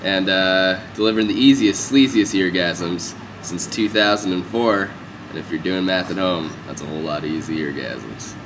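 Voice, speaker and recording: A man's voice: deep voice, moderate pace, background noise. A man hosting a casual radio interview, asking playful, joking questions. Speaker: radio host.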